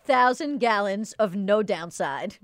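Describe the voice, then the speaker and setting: A woman's voice: medium pitch, animated, slow pace, dry recording, clean studio sound. A woman co-hosting a casual news talk show, sarcastic and indignant about the stories she brings. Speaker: podcast co-host. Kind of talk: casual political chat.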